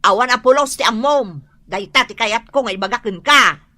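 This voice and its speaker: A woman's voice: on the high side, moderate pace, sharp tone. A woman with an Ilocano accent playing a practical, nagging wife and mother who lectures her drinking husband in a radio comedy drama. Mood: scolding.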